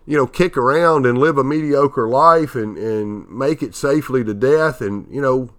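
A man in his fifties hosting a motivational podcast, a bit nasal from allergies, talking in a halting way with many fillers and restarts. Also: medium pitch, a bit bright. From Tennessee. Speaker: podcast host.

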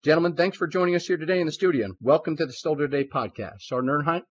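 A man's voice: on the high side, very fast, breathy edge. A man hosting a formal interview podcast, upbeat and full of praise for his guests. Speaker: podcast host. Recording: dull lo-fi sound.

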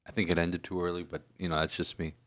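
A priest co-hosting a podcast, a man who teases his co-host and asks quick questions in casual chat. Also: sits low, flat delivery, a bit bright. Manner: teasing, chuckling, quick questions.

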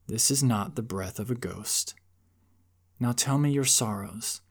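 A man voicing a huge lion with a large, deep, quiet voice, calm and solemn. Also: breathy edge. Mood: reassuring. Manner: slow, hushed, solemn.

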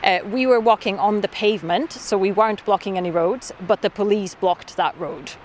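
A woman's voice: medium pitch, moderate pace. Earnest woman activist, outdoors. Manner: earnest, with many fillers and restarts. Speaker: activist and camp organiser. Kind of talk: interview answers. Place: outdoors at a camp. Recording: faint outdoor noise.

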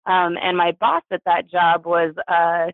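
Through a tinny, phone-like radio sound with very little room to it, an American guest in their thirties talks candidly. The voice is mid-pitched, and the delivery is hesitant, personal storytelling. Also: little pitch movement, unhurried, clear, resonant tone, no echo. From New Mexico, United States. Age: 30s. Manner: hesitant, chuckles through hard subjects. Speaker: interview guest, poet.

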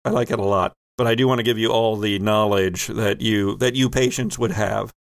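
A man running a tabletop role-playing game as game master, improvising vivid descriptive narration with thoughtful pauses. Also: lowish voice, steady tone, creaky, no room sound.

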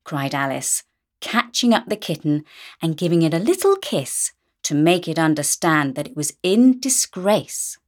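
Lowish, animated woman storyteller. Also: measured pace. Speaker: audiobook reader. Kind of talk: lively scripted story narration.

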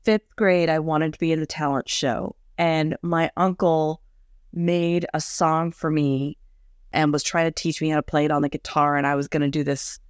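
A woman's voice: deep voice, little pitch movement, normal speed, clear, resonant tone, dry recording, clean studio sound. A woman co-hosting a podcast, telling chatty anecdotes in a casual way. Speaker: podcast co-host. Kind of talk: casual chat.

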